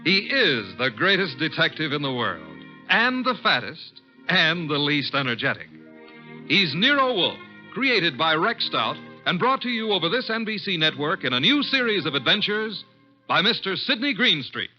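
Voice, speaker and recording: A man's voice: a little high, very animated, moderate pace. A male announcer narrating in theatrical, emphatic 1940s radio drama style. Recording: dull vintage radio recording with loud music. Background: loud music.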